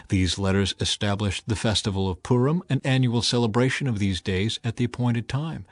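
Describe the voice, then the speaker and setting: A man's voice: mid-range voice, no echo. A man reading scripture as a scripted, dramatized audiobook narrator. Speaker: Bible narrator. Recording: music behind a dry studio voice.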